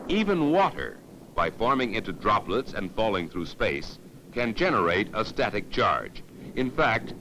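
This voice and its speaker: Deep-voiced man narrating a 1950s instructional film. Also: pushed and bright, rough recording. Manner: didactic, measured, formal delivery. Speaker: narrator and demonstrator of an educational safety film.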